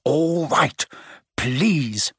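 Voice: medium pitch, expressive, drawn-out words, husky, dry recording, heavily acted. A narrator voicing an irritable, menacing male caretaker in an audiobook, urgent and demanding. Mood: impatient anger. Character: irritable, menacing caretaker man hunting rule-breakers.

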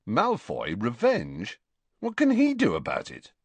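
A man's voice: medium pitch, wildly swooping pitch, a little slow, husky, no echo, pitched above the performer's own voice, heavily acted. A man voicing a sceptical, offhand teenage boy in an audiobook, casual and unconvinced. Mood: incredulous.

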